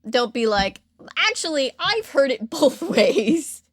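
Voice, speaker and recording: A woman's voice: high-pitched, big pitch swings, slow, no echo, studio quality. A woman hosting a podcast, telling a story in a casual, chatty, informal way and acting out imagined reactions.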